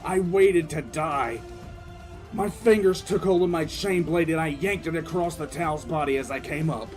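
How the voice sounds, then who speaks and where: An actor playing a battle-hardened military commissar who recounts combat with grim relish in an intense, theatrical audio drama narration. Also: male voice, a little high, over music, close to an everyday voice. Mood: intense, fierce. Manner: intense, theatrical, drawn-out emphatic delivery.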